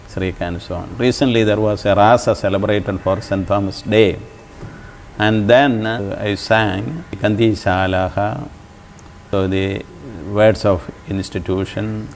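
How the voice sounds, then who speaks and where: A man in his seventies, with a Malayali English accent, talks slowly and thoughtfully, often pausing on an "uh". His low voice has a gravelly grain. He speaks into a lapel mic in a bright indoor room. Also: slight background noise. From Kerala, India. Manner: measured and thoughtful, frequent pauses with "uh". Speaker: interview guest, church leader. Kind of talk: reflective conversation.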